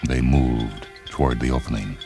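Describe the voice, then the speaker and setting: A man narrating a science fiction short story in a measured, literary storytelling manner. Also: extremely low pitch, steady tone, warm tone. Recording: music behind the voice.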